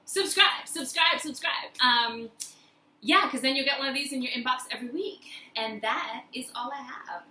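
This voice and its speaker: A woman with a fairly high, very bright voice gives a motivational talk as the host of her own video. She speaks emphatically, repeating key phrases to drive them home. The room adds a noticeable echo around her voice. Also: moderate pace. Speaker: host of a personal-growth video series. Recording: roomy echo, faint background noise.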